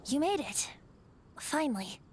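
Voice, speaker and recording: A woman's voice: sits high, sing-song, a little slow, a little mellow. A woman voicing a carefree, youthful male bard who is an ancient wind spirit in an anime video game, with a light, lilting delivery. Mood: sheepish, light. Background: music.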